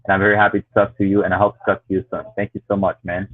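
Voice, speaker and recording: A man's voice: sits low, level tone, racing. A man from Haiti hosting an interview, encouraging and casual, with many short replies. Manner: warm, encouraging, frequent backchannels. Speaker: host. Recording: tinny phone-like line.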